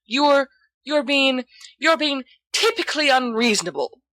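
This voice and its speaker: An anxious teenage schoolboy in a storybook, acted out by a woman reader. He sounds exasperated and halting, with a bright edge. The recording is tight and dry. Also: sits high, expressive, no echo, voice pushed up. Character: anxious, irritable, bookish teenage schoolboy, easily flustered.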